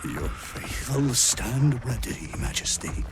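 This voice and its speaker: A man voicing a fanatical, broken-minded drider devoted to his queen in a video game, creaky, reverent and theatrical. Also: medium pitch, animated, slow. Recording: music underneath. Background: game music.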